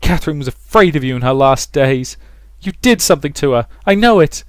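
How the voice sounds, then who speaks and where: A man voicing a grief-stricken, tormented young woman, high-strung and anguished, in a visual novel reading with music behind. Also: flat delivery, slow, darkish tone, dry recording, clean studio sound, natural voice. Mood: furious, hysterical.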